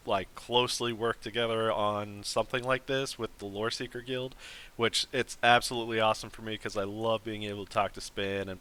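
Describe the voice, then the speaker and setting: Man, stream host, rambling casual chat, low-pitched, bright and crisp tone. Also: rough recording. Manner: rambling, lots of fillers and restarts.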